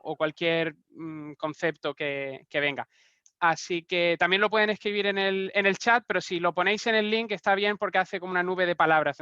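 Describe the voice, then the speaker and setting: A man's voice: sits high, bright, forceful tone, smooth, dry recording, highs cut off. A man in his thirties with a Spanish accent, giving a casual online talk with frequent restarts and fillers. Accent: Spanish (Spain). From Argentina, raised in Spain. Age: 30s. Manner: hesitant, many restarts and fillers. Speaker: guest speaker. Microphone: video call.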